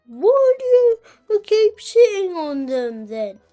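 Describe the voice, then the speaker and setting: A helpful storybook dog, acted by a young boy, with a very high voice. It is keen and eager, offering ideas with faint music behind. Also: sing-song, takes it slowly, mellow, full character voice. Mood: curious. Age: child, 8 years old.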